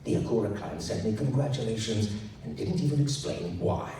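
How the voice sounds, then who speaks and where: A man's voice: fairly low pitch, animated, fairly quick, mellow, natural voice. A man playing a formal, earnest and exasperated emperor, speaking in a stiff courtly manner for a story reading. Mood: aggrieved. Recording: echoey noisy room, recorded from far off.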